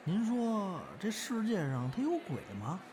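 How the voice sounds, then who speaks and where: A man's voice: high-pitched, sing-song, unhurried, background noise. Man acting a playful, swooping young comedian. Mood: mock-earnest. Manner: exclamatory, mock-earnest. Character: playful young male crosstalk comedian, teasing and theatrical. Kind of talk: audio drama acting with stage patter.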